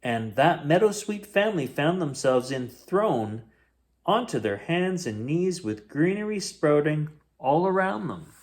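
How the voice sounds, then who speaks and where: A man reading a children's picture book aloud as a bedtime story host, in an expressive, dramatic way. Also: fairly high pitch, a little slow.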